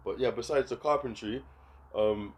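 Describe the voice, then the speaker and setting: A man's voice: medium pitch, normal speed. A man, a podcast guest telling stories in a laid-back way and acting out the dialogue he quotes. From England. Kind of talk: casual chat.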